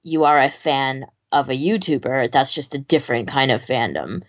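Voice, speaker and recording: A woman's voice: rumbling low, lively intonation, moderate pace, lo-fi sound. A woman co-hosting a casual podcast discussion, thinking aloud in a tentative, hedging way. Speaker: podcast co-host.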